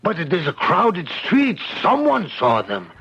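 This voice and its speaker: An actor playing a grieving older father bent on revenge, brooding and slow, with anguished outbursts, in a 1970s radio drama. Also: sits high, huge pitch swings, fairly bright tone, husky, exaggerated voice. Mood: insistent. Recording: dull lo-fi 1970s radio recording.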